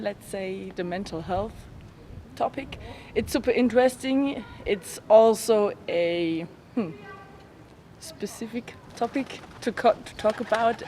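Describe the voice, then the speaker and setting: A woman, speaking in a casual interview and pausing to find her words. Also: medium pitch. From Germany. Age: 20s. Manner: thoughtful, pauses to find words. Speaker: youth rally participant. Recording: noisy outdoor recording.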